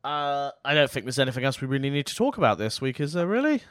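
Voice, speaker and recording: A young man with a British accent talks in a casual, self-deprecating way as a podcast co-host. His medium-pitched voice sounds a little strained. The sound is dry, with no room on it. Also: very animated, fast talker, fairly bright tone, no echo. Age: young adult. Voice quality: slightly worn, tired voice. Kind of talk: casual tech chat.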